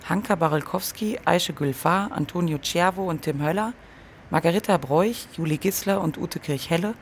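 An announcer with a medium-pitched voice reads radio play credits from a script. Faint noise and ambience sit behind the voice. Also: normal speed.

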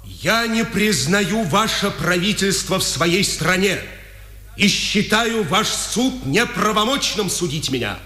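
A proud forty-year-old prisoner on trial in an old Soviet radio drama. He declaims slowly and with passion in a high voice that swings widely. Long echo trails each phrase. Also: animated, pushed and bright, heavily acted. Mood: proud defiance. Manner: declamatory, deliberate, impassioned. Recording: echoing hall, 1950s radio recording.

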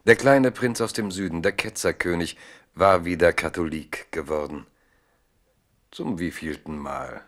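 A man narrating a literary radio play in German with measured, dryly ironic storytelling. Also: low voice. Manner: measured, dry storytelling with irony.

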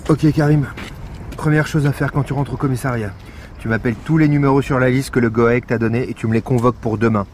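An actor playing an irritated, sardonic police detective in a French audio drama, curt and impatient, with a French accent. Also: male voice, medium pitch, fairly even intonation, fast talker, a little mellow, a little hiss or hum, lightly acted. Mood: curt, determined.

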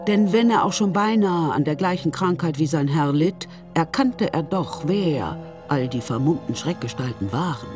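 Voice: medium pitch, lively intonation, moderate pace. A storyteller reading scripted literary narration for a radio drama, in the past tense. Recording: music behind the voice.

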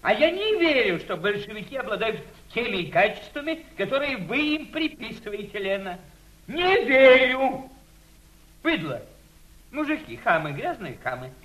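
Scornful, hot-tempered émigré gentleman, very high-pitched, theatrical radio-play delivery. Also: sing-song, normal speed, exaggerated voice, low-quality recording. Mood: furious contempt. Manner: scornful, repeats himself heatedly. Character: bitter, contemptuous aristocratic Russian émigré man, hot-tempered. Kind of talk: theatrical radio drama acting.

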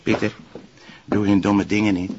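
An actor playing a sceptical, cautious police detective in a TV crime drama, arguing in halting bursts with a Flemish accent. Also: male voice, on the low side, flat delivery, highs cut off, background noise, close to an everyday voice. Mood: warning. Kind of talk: naturalistic TV crime drama acting.

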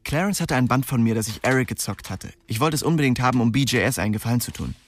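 A man's voice: mid-range voice, expressive, fast, slight background noise, lightly acted. An actor playing a cocky, restless young man who narrates his story in a slangy, streetwise radio drama style. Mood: annoyed. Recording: music behind the voice.

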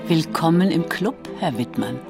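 A woman's voice: low-pitched, expressive, a little slow, creaky, music in the background, exaggerated voice. An actress playing a wry female colleague in a German radio play, with an ironic way of talking.

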